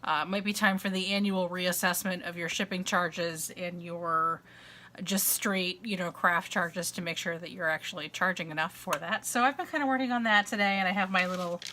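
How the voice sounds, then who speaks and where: A middle-aged woman chatting at home, congested with a cold and a dry cough, rambling through her thoughts with frequent uhs and false starts. Her voice sits a little low but comes across bright and forceful. A faint hiss of background noise runs under the home recording. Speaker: crafter and vlogger. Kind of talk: long casual chat. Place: at home, indoors.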